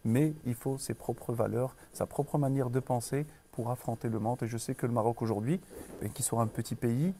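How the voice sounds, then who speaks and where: Un homme d'âge moyen, originaire du Maroc, directeur d'école en entretien, parle de façon posée avec beaucoup d'hésitations. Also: male voice, mid-range voice, fairly even intonation, dark tone, a little hiss or hum. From Morocco. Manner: measured, many pauses and restarts. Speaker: school director. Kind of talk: interview.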